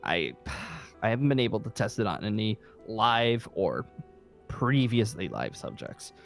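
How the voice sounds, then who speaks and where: A man playing a weary, irritable survivor leader in an improvised zombie game roleplay, talking in a halting, rambling, emphatic way. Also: on the low side, sing-song, vocal fry, faint music behind, heavily acted. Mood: hesitant, hopeful. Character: weary, irritable safe-haven leader secretly seeking a zombie cure.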